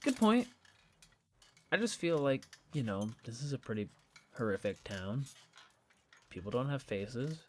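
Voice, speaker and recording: Casting: actor as easygoing, inquisitive young skeptic, hesitant but highly animated in pitch, naturalistic audio-fiction dialogue. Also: unhurried, pauses often, a little hiss or hum. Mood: dry, casual. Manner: hesitant, casual, questioning. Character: casual, curious, skeptical jobless young friend.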